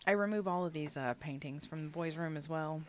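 A woman's voice: sits low, brisk, slight background noise. A young adult woman giving a rambling, casual voiceover for a building game, a little sniffly, with lots of fillers. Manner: rambling, chatty, many fillers. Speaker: gaming channel host.

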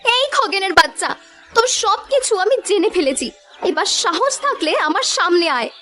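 A woman's voice: extremely high pitch, sing-song, normal speed, bright, forceful tone, soft background music, heavily acted. A woman voicing an emotional, determined village wife in a melodramatic cartoon. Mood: defiant, angry.

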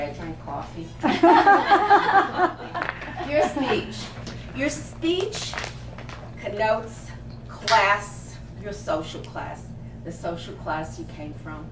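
An older woman with a Brooklyn accent leads a workshop in a boutique, speaking slowly and with emphasis, listing points and putting questions to the group. Her voice comes from across the room to a distant camera, sounding roomy and hollow with a steady layer of background noise. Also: lowish voice. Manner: emphatic, punchy lists, frequent laughs, direct questions to audience. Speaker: image consultant presenting a workshop. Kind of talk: lively workshop talk to a small group. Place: boutique shop floor, indoors. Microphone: far camera, no visible mic.

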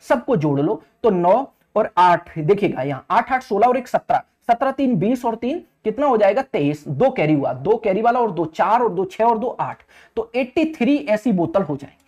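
A man's voice: high voice, a little mellow. A man in his thirties teaching exam maths step by step, repeating points and checking that listeners follow. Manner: instructive, repetitive, often addresses listeners as friends and checks understanding. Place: indoor teaching studio at a digital board.

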